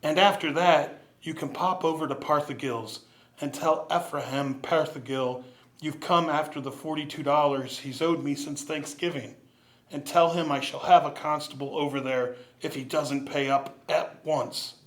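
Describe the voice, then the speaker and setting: Guy doing a bitter old miser for a radio play, highish voice, scornful and scolding. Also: fairly even intonation, moderate pace, natural voice. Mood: stern, demanding. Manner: scolding, contemptuous. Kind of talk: 1940s-style radio drama acting.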